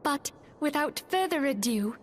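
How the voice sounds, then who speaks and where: Poised, high-voiced young female leader. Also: lively intonation, slight background noise. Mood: composed, earnest. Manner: formal, measured, composed. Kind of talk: scripted fantasy game cutscene acting.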